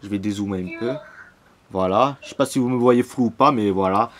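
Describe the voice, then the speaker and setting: A man's voice: on the low side, a little fast. Fired-up young man with a Marseille accent. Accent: Marseille French. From Marseille, France. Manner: animated, repetitive, slangy, fired up. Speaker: football fan YouTuber. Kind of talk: casual vlog rant to camera. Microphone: camera mic, self-filmed.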